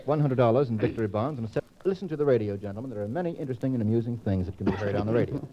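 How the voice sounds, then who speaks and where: A man's voice: medium pitch, racing, background noise. A man moderating a 1940s radio panel quiz in a precise, wordy, teasing manner. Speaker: quiz show host. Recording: muffled 1940s radio transcription disc.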